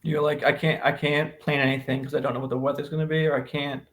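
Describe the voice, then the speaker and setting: A man's voice: on the high side, little pitch movement, fast talker, fairly bright tone. A man with an American accent chatting casually with a friend as co-host of a podcast. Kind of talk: casual banter between friends.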